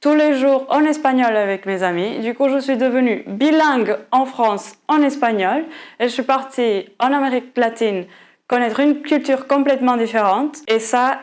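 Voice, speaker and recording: A woman in her twenties from Portugal with a Portuguese accent, answering interview questions in French with many pauses and restarts. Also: high voice, a little mellow. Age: 20s. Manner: hesitant, many restarts and fillers.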